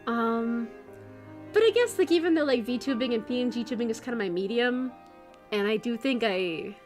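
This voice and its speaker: A woman streamer chatting casually at her computer, rambling and restarting her sentences, her voice going hoarse. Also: on the high side, expressive, normal speed, darkish tone, faint music behind. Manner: rambling, self-interrupting, many restarts. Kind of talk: casual livestream chat.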